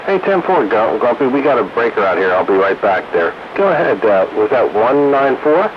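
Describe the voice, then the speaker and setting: A man's voice: mid-range voice, big pitch swings, normal speed, dull audio. A man hosting a casual, jokey round-table chat over two-way radio. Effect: two-way radio transmission. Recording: CB radio with clear static. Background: radio static.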